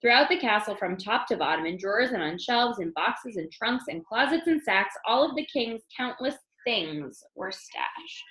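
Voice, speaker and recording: A woman reading a children's storybook aloud in an expressive storytelling style. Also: fairly high pitch, moderate pace, hardly any pauses, roomy sound, rough recording. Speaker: storybook reader.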